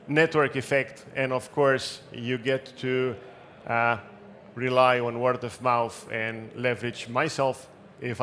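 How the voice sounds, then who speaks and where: A man with a non-native English accent speaks at a conference event in a brisk, practical way, adding light jokes. His voice bounces around an echoey room. Faint background noise runs underneath. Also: mid-range voice, a bit bright. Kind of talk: talk with audience questions. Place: event hall.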